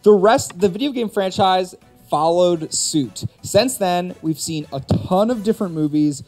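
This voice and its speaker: High-voiced man hosting a casual stream. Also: expressive, unhurried, a little mellow. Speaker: host of a gaming and movie live stream. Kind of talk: casual opinionated chat. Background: background music.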